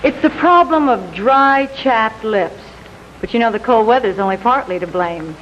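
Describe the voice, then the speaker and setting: An American woman giving a polite, rehearsed 1950s television commercial pitch. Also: expressive, normal speed, a bit bright. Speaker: sponsor's presenter on a game show. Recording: dull 1950s television sound, faint noise.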